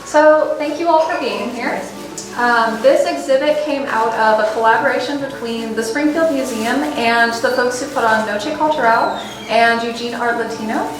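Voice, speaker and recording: A woman curator talking about an exhibit in an eager, easygoing way, her voice fairly high. The sound rings around a big, echoing gallery. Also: moderate pace. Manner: eager, casual explaining. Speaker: museum curator. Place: large indoor museum gallery. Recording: echoey museum hall.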